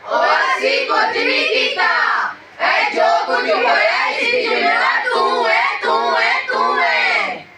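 Very high, slow, taunting villains played by young female performers shout menacingly in a stage play. Loud crowd noise fills the recording. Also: fairly even intonation. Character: taunting, menacing chorus of social media villains. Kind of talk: school stage play declamation.

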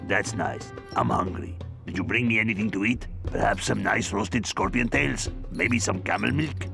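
A man's voice: fairly low pitch, expressive, moderate pace, creaky. A man playing a dazed, hungry, self-absorbed cartoon rodent in a video game, with a groggy drawl. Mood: dopey, hungry. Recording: music behind the voice.

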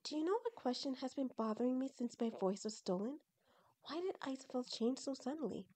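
An excitable young fox girl from an audio story, acted out by a woman narrator. Her voice is high and mellow. She speaks with eager, earnest emphasis. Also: fairly quick. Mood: troubled.

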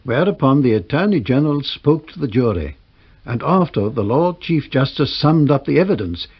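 A sober court chronicler in a radio drama. His medium-pitched voice is husky, the delivery measured and formal, the recording muffled. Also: big pitch swings. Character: sober male court chronicler narrating a trial. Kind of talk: scripted radio drama narration. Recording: dull vintage radio recording.

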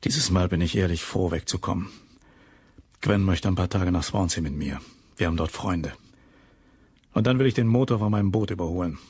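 An actor playing a weary, matter-of-fact head lighthouse keeper in a German radio crime drama. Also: male voice, low voice, a little fast, pauses often, a little mellow, slightly rough, no room sound, clean studio sound. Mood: weary, irritated.

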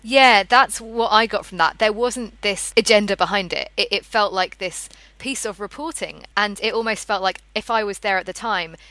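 A woman's voice: medium pitch, moderate pace, hardly any pauses, bright, forceful tone, no echo. A woman in her thirties hosting a casual podcast conversation, speaking in an enthusiastic, appreciative way. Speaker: podcast host.

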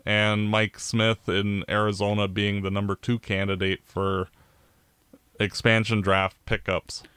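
A Canadian man co-hosting a podcast, giving blunt opinions in casual sports chat, with halting restarts. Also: lowish voice, flat delivery, a bit bright, clear, resonant tone, no echo. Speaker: podcast co-host.

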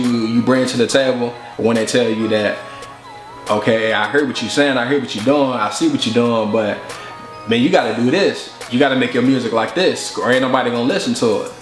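A man in his twenties, a rapper talking casually and reflectively in an interview. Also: mid-range voice. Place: living room couch indoors. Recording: slightly roomy indoor sound, faint music behind.

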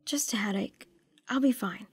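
A woman acting a weary, earnest and stubborn young time traveler in a fan drama, with a husky voice. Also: mid-range voice, normal speed, no room sound, studio quality, close to an everyday voice. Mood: pained, downplaying.

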